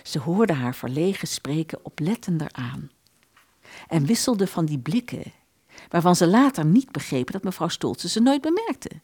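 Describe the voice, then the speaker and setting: A woman narrates a literary radio drama. Her voice is on the low side, with animated intonation. The delivery is expressive storytelling, recorded dry with little room sound. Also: moderate pace, breathy edge, no room sound.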